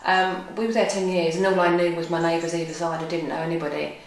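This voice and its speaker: Woman, middle-aged, chatty, laughs easily, lowish voice. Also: flat delivery, quick pace, creaky, heavy reverb, low-quality recording. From North London, England. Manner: chatty, warm, laughs often. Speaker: guest. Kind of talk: casual interview chat.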